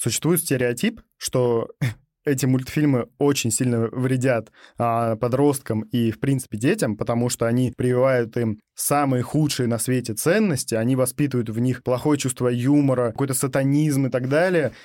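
A man's voice: mid-range voice, fairly even intonation, normal speed, darkish tone, no echo, studio quality. A young man hosting a podcast, speaking in a casual discussion style. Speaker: podcast host.

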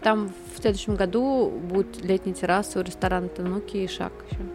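Female podcast guest, mid-pitched, casual conversational delivery, music clearly audible behind her. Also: steady tone, a little fast, a little mellow. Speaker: podcast guest, city guide blogger.

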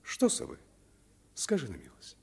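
An actor playing a brave, kindly, amused swordsman in a 1950s radio play, hearty and gently ironic. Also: moderate pace, lightly acted. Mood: indulgent, wry. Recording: vintage 1950s radio recording.

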